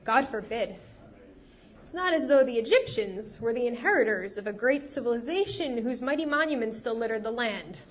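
A woman's voice: fairly high pitch, expressive, normal speed. Woman reading her novel, recovering from a cold. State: getting over a cold. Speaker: fantasy author. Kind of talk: reading her novel aloud at a live event.

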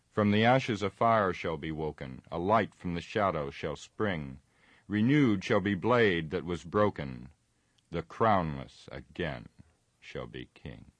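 An impatient old hobbit recites his verse, read by a man. He speaks briskly and a little indignantly. The voice is very deep and sounds close and dry. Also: no room sound.

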